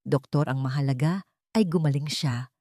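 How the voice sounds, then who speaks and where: A woman's voice: low-pitched, moderate pace, mellow, no echo, clean studio sound. Woman reading as an anxious, proud rich father. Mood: worried, hesitant. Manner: hesitant, guarded.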